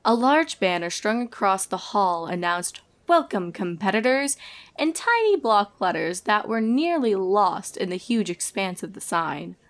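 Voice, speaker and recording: A woman's voice: mid-range voice, big pitch swings, a little slow, hardly any pauses. A woman reading scripted narration of a comic story as the storyteller.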